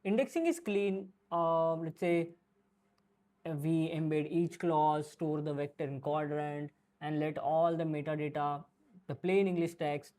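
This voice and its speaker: A presenter walking through a software tutorial in an explanatory way, partly scripted and partly improvised, often checking in with the listener. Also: medium pitch.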